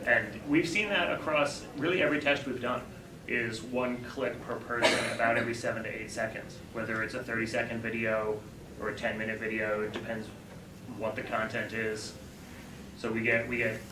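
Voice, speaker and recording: A man's voice: on the low side, level tone. Man explaining his startup in an echoey room. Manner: explanatory, conversational, quick self-corrections. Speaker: startup founder pitching. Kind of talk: pitch presentation and audience Q&A. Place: event room. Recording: echoey, distant, noisy room.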